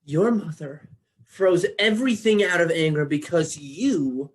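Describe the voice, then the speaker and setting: A man playing a fretful messenger god, slow and high. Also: close to an everyday voice. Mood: urgent. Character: anxious male messenger god.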